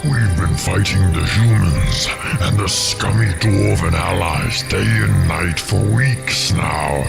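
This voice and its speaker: Gravelly, fierce orc warrior. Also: male voice, low voice, exaggerated voice. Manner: menacing, martial. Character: fierce, loyal, battle-hardened orc warrior. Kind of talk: fantasy game cutscene acting. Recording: music under the voice.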